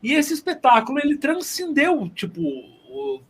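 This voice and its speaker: A man's voice: extremely high pitch, wildly swooping pitch, a little slow. A man chatting casually as an interview guest, joking and ironic, rambling from one phrase to the next. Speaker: podcast guest, theatre sound designer and composer.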